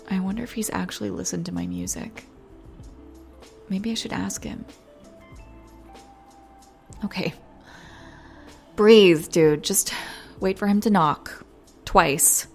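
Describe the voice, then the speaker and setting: Woman acting flustered young pop star, slow and halting. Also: mid-range voice. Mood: flustered, self-coaching. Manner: flustered, halting, self-interrupting, breathy sighs. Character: nervous young female pop star, giddy and lovesick. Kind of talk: intimate scripted audio roleplay.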